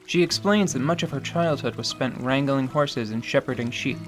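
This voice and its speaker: A man narrating a scripted tabletop radio drama in a measured, wry way, over a music bed. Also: medium pitch.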